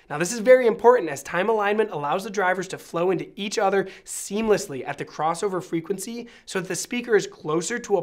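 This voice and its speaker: An American man in his thirties giving a scripted review to camera with polished, articulate presenter delivery. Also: high-pitched. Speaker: presenter of an audio product review. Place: small indoor studio set.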